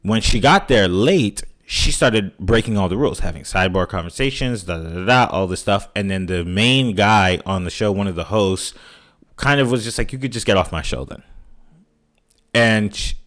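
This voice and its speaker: A man with a lowish voice retells a story for his podcast co-hosts. He rambles, restarts his sentences and slips into quick quoted voices as he goes, all in easy conversational chat. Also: expressive, fairly bright tone. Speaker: podcast co-host. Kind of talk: casual chat.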